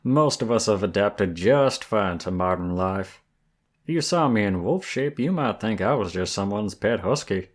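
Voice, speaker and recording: A man's voice: fairly low pitch, lively intonation, normal speed. A man voicing a flirty, friendly werewolf guide in an ASMR roleplay, with a folksy, teasing Southern US drawl. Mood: teasing, amused. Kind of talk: ASMR roleplay, intimate one-sided dialogue.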